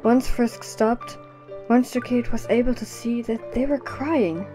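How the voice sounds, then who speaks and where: A woman's voice: on the high side, unhurried, over music. A woman narrating a scripted audio drama as its storyteller.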